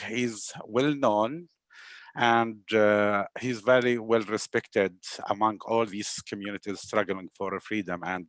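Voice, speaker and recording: A man with a Palestinian accent, hosting a webinar and earnestly introducing a guest in halting speech full of fillers. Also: fairly low pitch, a bit bright, no room sound. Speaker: webinar host. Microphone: video call.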